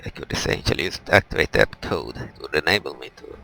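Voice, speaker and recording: Very deep, gravelly man doing gaming commentary. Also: lively intonation, bright, forceful tone, poor audio. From Europe. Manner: halting, rambling, explanatory. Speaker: gaming video host. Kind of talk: casual let's play commentary.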